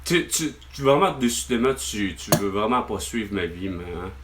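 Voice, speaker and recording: A man's voice: on the low side, animated, moderate pace, noisy background. Exasperated man with a Québécois accent. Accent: Québécois French. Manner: rambling, exasperated, swears often. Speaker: vlogger. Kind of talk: venting on a phone call with his mother.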